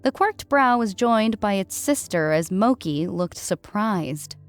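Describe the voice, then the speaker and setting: A woman narrates a story in a scripted audiobook style. Her voice sits at a middle pitch, with faint music playing behind it. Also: animated, slow pace. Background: background music.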